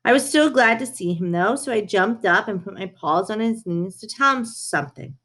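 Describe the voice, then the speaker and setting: A woman's voice: medium pitch, animated, normal speed, a bit bright. A woman reading a children's story aloud, narrating it in the first person as a dog. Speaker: reader of a children's book.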